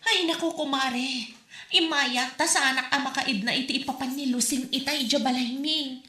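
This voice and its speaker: A woman plays a put-upon borrower with an Ilocano accent, her bright, high voice ringing in an echoey room. She pleads and complains about her friend's demands. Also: moderate pace. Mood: aggrieved. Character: harried borrower woman, defensive and aggrieved.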